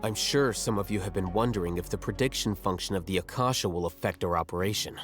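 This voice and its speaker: A methodical male adviser from a video game, played by a male actor. He explains calmly in a low voice, point by point, with soft music behind. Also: quick pace, lightly acted. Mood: calm, reasoning. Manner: orderly, explanatory.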